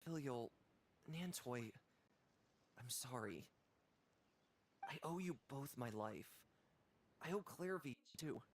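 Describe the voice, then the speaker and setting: A man's voice: medium pitch, animated, slow, pauses often, a little hiss or hum. A man playing a dutiful, remorseful young man in an anime game, speaking solemnly and apologetically.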